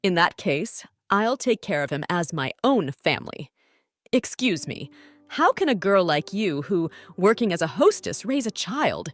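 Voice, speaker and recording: A woman's voice: lowish voice, measured pace, dry recording, studio quality. A woman voicing an outspoken young aunt who works as a bar hostess in an anime-style dub. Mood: scornful. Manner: sharp-tongued, lively.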